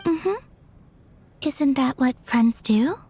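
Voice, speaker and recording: A woman playing a serene, naive, otherworldly young woman in a video game, speaking in a gentle, artless way. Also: sits high, expressive, extremely slow, slight background noise, exaggerated voice. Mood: naive, mild. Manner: gentle, artless, matter-of-fact.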